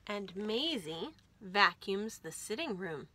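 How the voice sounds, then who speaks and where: A woman hosting storytime for young children, reading aloud in an animated, expressive way and speaking to them directly. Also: mid-range voice, takes it slowly. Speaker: children's library storytime host.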